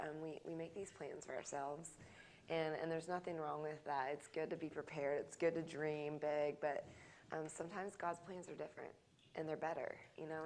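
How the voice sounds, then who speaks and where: A woman musician in an interview, speaking earnestly and reflectively with many ums and pauses. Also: low-pitched, a little hiss or hum.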